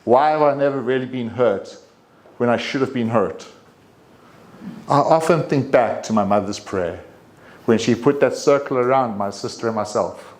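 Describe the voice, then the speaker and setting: Male, 50s, South African accent, mid-range pitch; personal storytelling speech, deliberate with dramatic pauses. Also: a little mellow. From Durban, South Africa. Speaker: club speaker giving a personal speech.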